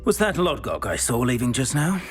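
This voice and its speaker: A man playing a condescending dark wizard gang boss in a video game, theatrical and threatening. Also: medium pitch, very animated, normal speed, faint music behind, lightly acted. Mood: sneering menace. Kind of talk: cinematic video game acting.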